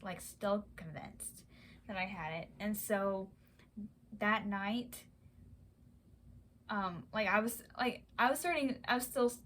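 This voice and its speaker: A young woman vlogger telling a personal story in a halting way, with many fillers and restarts. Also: medium pitch, slight background noise. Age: young adult. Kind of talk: casual personal account.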